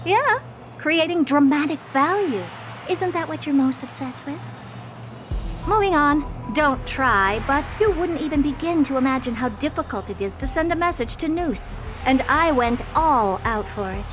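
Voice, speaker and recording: A woman's voice: high-pitched, animated, moderate pace. A woman voicing a haughty genius scientist in a video game, who talks bluntly and dismissively. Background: game music.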